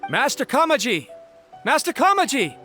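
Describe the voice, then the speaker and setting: A man's voice: very high voice, big pitch swings, moderate pace. A nervous young male servant from a video game. He is earnest and deferential, with a stammering, worried delivery. Music sits behind the voice. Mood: worried, calling. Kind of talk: video game character acting.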